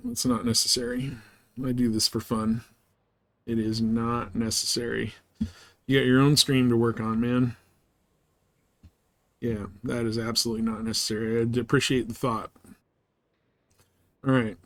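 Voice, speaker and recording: A man's voice: medium pitch, little pitch movement, normal speed, pauses often, dry recording. A man livestreaming a game in casual, informal chat, often thinking aloud to himself as he plays.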